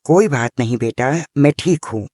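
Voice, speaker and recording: A man's voice: medium pitch, expressive, normal speed, a little mellow, no room sound, clean studio sound, natural voice. A man voicing a loving, dying old mother who speaks tenderly to her son in a cartoon story. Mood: gentle. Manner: tender, blessing.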